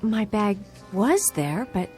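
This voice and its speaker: An actress playing an anxious, bewildered young woman in her twenties in a radio drama, halting and defensive as she speaks. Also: medium pitch, big pitch swings, darkish tone, faint music behind. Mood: flustered. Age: 20s. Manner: halting, stammering. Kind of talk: dramatic radio play acting.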